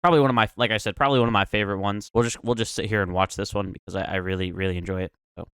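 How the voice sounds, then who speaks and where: A man's voice: lowish voice, steady tone, rapid-fire, fairly bright tone, smooth, dry recording. A man giving casual, slangy, profanity-laced reaction commentary as a YouTuber. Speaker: YouTuber reacting to horror videos.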